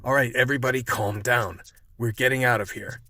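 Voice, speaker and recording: An actor playing a weary, blunt, hard-boiled cop narrating a gritty ordeal in a scripted radio play. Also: male voice, mid-range voice, fairly even intonation, fairly quick, dry recording. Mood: urgent. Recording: loud music under the voice.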